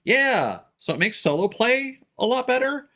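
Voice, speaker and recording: A man's voice: very high-pitched, sing-song, normal speed, pushed and bright. A man working as a game streamer, chatting casually and explaining things in an informal way with some swearing. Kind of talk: casual livestream chat.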